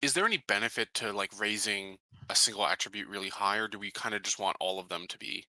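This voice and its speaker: Very bright, sharp low-pitched man. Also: quick pace, no room sound, rough recording. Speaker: tabletop game player. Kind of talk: casual game-table discussion.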